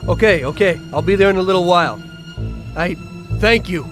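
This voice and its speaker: An actor playing an earnest, betrayed mobster on the run in a video game cutscene. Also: male voice, high-pitched, wildly swooping pitch, a little fast, exaggerated voice. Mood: anxious, shaken. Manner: earnest, dramatic delivery. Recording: loud music over the voice. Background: prominent background music.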